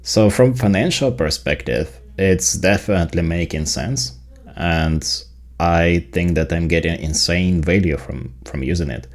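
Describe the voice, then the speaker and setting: An extremely deep-voiced man with a Ukrainian accent, speaking as a native Ukrainian. He walks through software in a casual tutorial style. His delivery is explanatory, leaning on the points that matter. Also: unhurried. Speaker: tech video creator.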